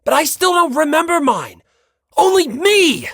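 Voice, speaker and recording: A reader voicing an agitated, insecure teenage boy in an audiobook, with forceful, accusing delivery. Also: high voice, very animated, measured pace, no echo, clean studio sound, voice pushed up, exaggerated voice. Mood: agitated, bitter. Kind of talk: dramatic audiobook character reading.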